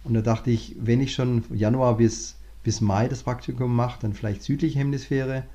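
A man, a management consultant, talking through his career in a relaxed interview, thinking aloud with many restarts. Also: medium pitch, moderate pace. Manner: thoughtful, many fillers and restarts. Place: lives in Cape Town, remote interview.

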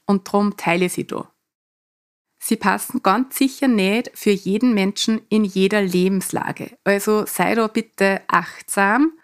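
Austrian dialect-speaking woman podcaster. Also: mid-range voice. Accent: Mostviertel Austrian dialect with a touch of Salzburg. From Mostviertel, Lower Austria. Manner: earnest, speaks in dialect rather than standard German. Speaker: podcast host and coach. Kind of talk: solo podcast monologue.

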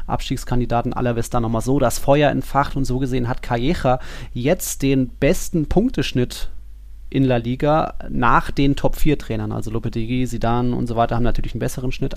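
A man's voice: medium pitch, steady tone, brisk, vocal fry, no echo. A man co-hosting and moderating a football podcast in a casual, colloquial, slangy way.

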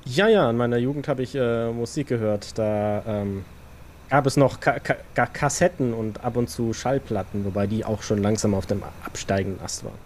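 A man playing a wary, distrustful vampire in a role-play audio drama, with halting, deliberate phrasing. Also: medium pitch, a little mellow, a little hiss or hum, close to an everyday voice. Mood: hesitant.

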